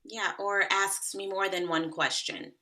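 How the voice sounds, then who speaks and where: Comedy table read: woman as deadpan young date, unhurried, bright lowish voice. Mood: unimpressed.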